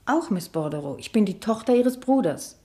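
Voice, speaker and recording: Schauspielerin als schüchterne, bescheidene Frau, mittlere Stimmlage. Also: female voice, medium pitch, expressive, normal speed, warm tone, natural voice. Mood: hesitant. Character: shy, plain-spoken, modest spinster niece. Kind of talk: radio drama acting.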